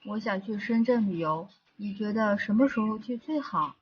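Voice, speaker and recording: A woman's voice: mid-range voice, moderate pace, slightly rough. A woman voicing a cartoon office worker who wants a break, in a language-lesson dialogue. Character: cartoon woman tired of work, planning a trip. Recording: slightly dull audio under music.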